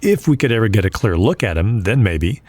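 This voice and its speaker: A man's voice: fairly low pitch, very animated, brisk, darkish tone. A man playing a matter-of-fact police officer giving a briefing in an audio drama. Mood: businesslike.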